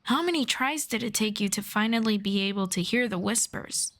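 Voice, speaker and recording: A woman voicing a gentle, teasing young elf friend in an unhurried fantasy roleplay audio drama in ASMR style. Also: medium pitch, lightly acted. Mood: concerned, curious.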